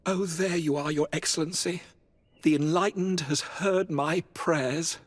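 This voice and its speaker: Anxious, husky cleric in echoing hall. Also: male voice, on the high side, fairly even intonation, measured pace. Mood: worried, pleading. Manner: formal, fretful. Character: anxious, pious cleric of a religious order. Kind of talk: video game dialogue acting. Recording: long reverberant hall, slightly muddied.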